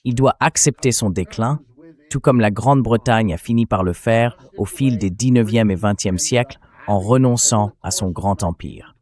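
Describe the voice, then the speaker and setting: A man's voice: on the low side, normal speed, a little mellow. A man's synthetic AI voice-over delivering conversational, explanatory political commentary as a dubbed interview.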